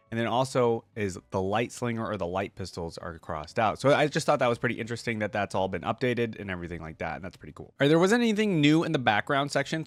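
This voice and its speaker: A man's voice: medium pitch, dry recording. A man hosting a reaction video, speaking casually and with enthusiasm as he thinks aloud. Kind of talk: casual reaction commentary, partly reading aloud.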